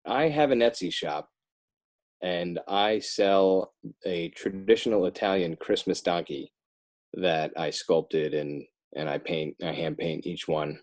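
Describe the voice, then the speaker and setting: Low-pitched American man, casual podcast guest. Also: slow pace. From Northern New Jersey, United States. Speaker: podcast guest, children's book author. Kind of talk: casual podcast interview, plugging work.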